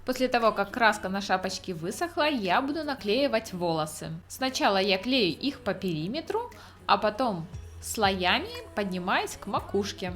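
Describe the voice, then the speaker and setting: A woman's voice: medium pitch, sing-song, moderate pace, a bit bright, soft background music. A woman hosting a craft tutorial, explaining each step in scripted narration. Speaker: craft channel host.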